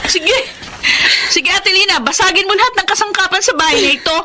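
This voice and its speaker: A very high-voiced young Filipino woman, aggrieved and defiant, speaks with melodramatic feeling. She is a younger sister who will not be pushed around. The radio drama track has clear noise and clicks. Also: fairly quick. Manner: emotional, melodramatic delivery.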